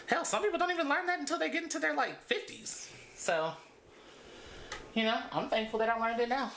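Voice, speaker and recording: A woman's voice: high voice, a little fast, fairly bright tone, reverberant, slight background noise. Chatty woman in her thirties, laughing often. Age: 30s. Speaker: beauty vlogger. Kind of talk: casual storytime while braiding hair.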